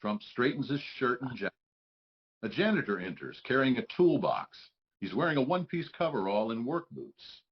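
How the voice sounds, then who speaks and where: A man's voice: medium pitch, sing-song, moderate pace, dull audio. A man reading stage directions as scripted narration for a live table read.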